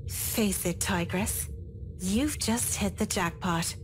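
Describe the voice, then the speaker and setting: A wry, self-satisfied heroine of a horror game , voiced by a woman, talking slowly and teasingly to herself. The game audio is quiet, with some noise behind it. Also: medium pitch, close to an everyday voice. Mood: wry triumph.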